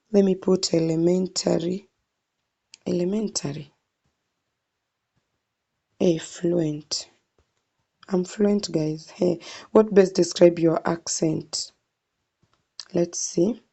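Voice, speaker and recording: A woman's voice: on the low side, steady tone, slow, creaky, pauses often, mellow, breathy edge. A young Kenyan woman walking viewers through an online sign-up form, thinking aloud and calling them guys. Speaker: tutorial vlogger. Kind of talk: screen-recorded walkthrough.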